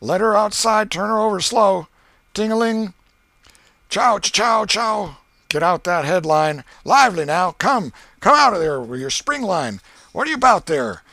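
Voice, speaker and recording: A boisterous young boy, acted out by a man, plays at being a steamboat captain in an audiobook story. His voice is pitched high and loud as he barks orders and makes bell and engine noises. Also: animated, unhurried, no room sound, heavily acted. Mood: excited, commanding. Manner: shouting commands and imitating bells and engines.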